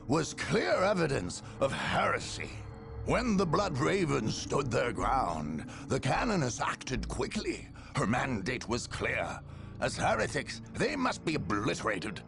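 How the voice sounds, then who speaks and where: Over swelling music, a solemn male chronicler of grim war for a video game. His delivery is gravelly and formal, with dramatic, sweeping shifts of pitch. He weighs key words with zealous, portentous emphasis. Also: heavily acted. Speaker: narrator of game campaign endings. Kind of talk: dramatic scripted narration.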